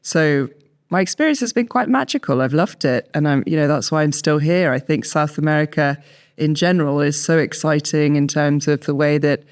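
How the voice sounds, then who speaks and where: Woman, 30s, southern English accent. Interview guest and expert; crisp, clean-toned, conversational delivery. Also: sits low, moderate pace. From southern England. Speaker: wine expert and writer, guest.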